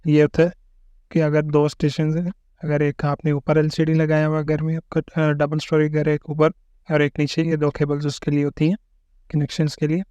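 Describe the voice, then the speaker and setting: A man's voice: a little high, monotone, moderate pace, mellow, clean tone, no echo, lo-fi sound. A man narrating an unboxing and setup tutorial, explaining each part step by step and often checking in with the viewer. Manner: explains step by step, keeps repeating "ठीक है". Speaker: tech reviewer.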